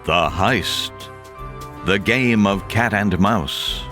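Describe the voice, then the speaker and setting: Low, slow male promo announcer. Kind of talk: dramatic audiobook promo announcement. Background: music score.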